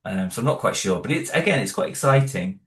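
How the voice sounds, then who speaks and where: Hesitant low-voiced man, echoey. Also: expressive, fast talker, fairly bright tone. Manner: hesitant, many restarts and fillers. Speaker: guest, horror audio drama creator. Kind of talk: casual interview answers.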